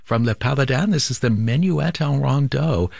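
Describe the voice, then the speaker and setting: A man hosting a classical radio station, making a measured, announcerly pledge-drive appeal from a studio mic. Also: mid-range voice, creaky, a little mellow, no room sound. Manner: measured, announcerly, repeats phone number. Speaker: radio host.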